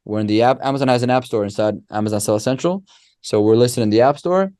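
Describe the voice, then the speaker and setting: A man, a podcast guest who explains ideas through animated, restart-filled stories. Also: medium pitch, fast talker, no room sound, clean studio sound. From Israel. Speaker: guest, company co-founder. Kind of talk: casual podcast interview.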